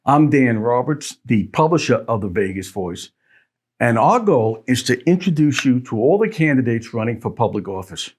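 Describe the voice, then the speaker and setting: A man's voice: mid-range voice, big pitch swings, normal speed, a little mellow, breathy edge. A male interview host who asks his guest questions in a friendly, conversational way. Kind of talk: interview hosting.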